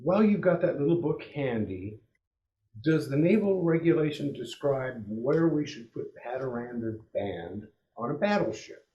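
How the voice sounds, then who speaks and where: Roomy, mellow man playing a radio announcer. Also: mid-range voice, animated, normal speed, muffled recording, a little hiss or hum, close to an everyday voice. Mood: playful. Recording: roomy, distant microphone.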